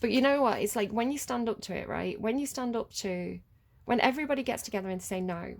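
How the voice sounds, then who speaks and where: A woman's voice: a little high, animated, fast, creaky, slight background noise. A British woman giving a casual tarot reading, chatty and rambling, laughing often. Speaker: tarot reader.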